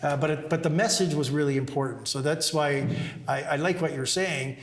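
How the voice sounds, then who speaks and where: Co-host: enthusiastic man, repeats words, slightly high voice, roomy sound. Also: moderate pace. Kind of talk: conversational interview talk. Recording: echoey room.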